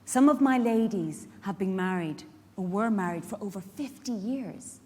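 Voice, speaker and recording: A woman's voice: mid-range voice, expressive, moderate pace, warm tone, natural voice. A woman with an Irish accent performing a live dramatic monologue as a feisty, protective care worker, in a conversational, wry manner. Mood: explaining, tender. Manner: conversational, wry, rhetorical questions to the audience. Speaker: actor in a dramatic reading. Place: large indoor event hall. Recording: echoey hall, live stage reading.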